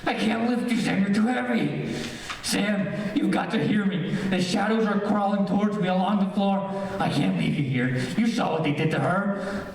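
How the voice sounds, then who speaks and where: Guy doing obsessed urgent man in old radio horror play, high pitched, melodramatic, noisy echoey audio. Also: normal speed, gravelly, hardly any pauses. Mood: panicked, desperate. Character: reckless, obsessed man called Doc, driven to panic. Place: echoey room, live streamed performance. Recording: echoey, distant, noisy streamed stage audio.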